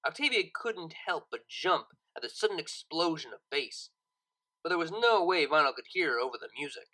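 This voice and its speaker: A narrator reading a scripted story expressively, with dramatic, deliberate phrasing. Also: medium pitch, big pitch swings, pushed and bright, low-quality recording. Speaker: narrator, audiobook reader.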